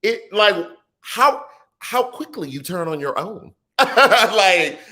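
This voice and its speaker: A man in his thirties hosts a live political show, talking casually with a lively, swooping pitch. He shifts easily between serious points and playful asides. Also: slow pace. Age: 30s. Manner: animated and conversational, drifts into chatty asides and laughs easily. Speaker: political talk show host. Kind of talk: live-streamed political commentary, reading viewer comments.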